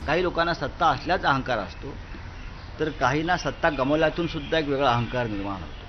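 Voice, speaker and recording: A man's voice: mid-range voice, fast, faint music behind. Dull-sounding man making a political statement. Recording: dull lo-fi clip.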